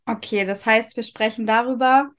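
Fairly high-voiced female podcast host. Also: fairly even intonation, moderate pace. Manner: gently prompting and rephrasing the guest's answers. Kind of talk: interviewing and scripted narration.

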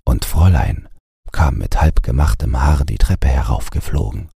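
A man narrating a bedtime audiobook in a calm, scripted storytelling style, close to the microphone. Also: rumbling low, moderate pace, creaky, husky, dry recording.

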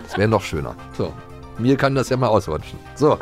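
A man's voice: lowish voice, lively intonation, a little fast, darkish tone, soft background music. A man hosting a podcast in casual, playful chat. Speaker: podcast host.

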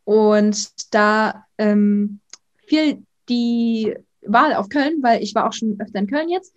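A young woman, an influencer, chatting casually in an interview, outgoing and talking in quick bursts with many fillers. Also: fairly high pitch, dull audio. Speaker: influencer guest.

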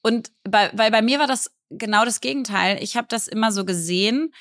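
A woman's voice: fairly high pitch, fairly quick, fairly bright tone, dry recording, studio quality. A woman in her thirties from Berlin, co-hosting a casual podcast chat and talking candidly, often laughing at herself. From Berlin, Germany. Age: 30s. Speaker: podcast co-host.

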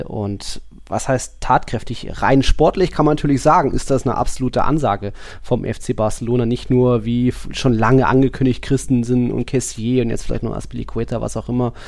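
A man's voice: mid-range voice, darkish tone, dry recording, clean studio sound. A man co-hosting a football podcast, talking in a colloquial, wry, rambling way. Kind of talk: casual podcast discussion.

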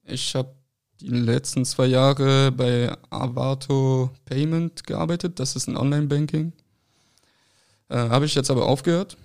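A man's voice: medium pitch, level tone, unhurried, vocal fry, darkish tone. A young man born in France and raised in Berlin, a football player giving short, hesitant answers with many fillers. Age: young adult. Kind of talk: casual interview answers.

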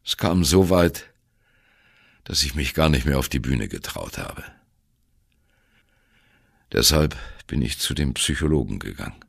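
An ageing, sardonic jazz drummer in a radio play, telling his own story. His voice is very low and husky, and it swings widely in melody as he recounts his doubts with dry wit. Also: animated, a little slow, long pauses, heavily acted. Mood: confessional. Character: wry, self-doubting ageing free jazz drummer reminiscing. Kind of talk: radio play monologue, first-person narration.

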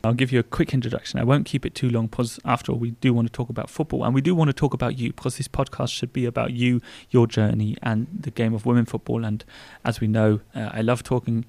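A man's voice: mid-range voice, little pitch movement, fast talker, vocal fry, a little mellow, no echo. Man, German accent, thirties, podcast co-host, rambling and chatty, dry close recording. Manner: chatty, rambling with frequent fillers. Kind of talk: casual podcast chat.

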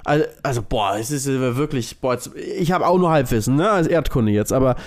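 A man's voice: medium pitch, lively intonation, brisk. A man co-hosting a podcast, explaining things loosely in casual, colloquial talk. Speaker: podcast co-host. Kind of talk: casual chat.

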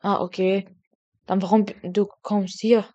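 Young woman, halting non-native speech. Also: medium pitch, little pitch movement, mellow, lo-fi sound. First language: Kurdish. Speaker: intern training as kindergarten teacher. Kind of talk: casual interview chat.

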